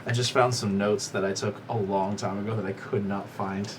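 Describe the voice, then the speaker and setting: A man's voice: fairly low pitch, fairly even intonation, normal speed. A man running a tabletop game as game master, narrating with playful laughs and many fillers. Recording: noisy room recording.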